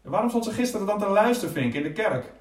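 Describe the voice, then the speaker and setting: A man's voice: sits high, sing-song, racing, recorded from a distance. Irate, intimidating man, echoey room. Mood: irritated. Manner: insistent, repetitive, cursing. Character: irate, intimidating man pressuring a newspaper editor. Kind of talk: audio drama dialogue.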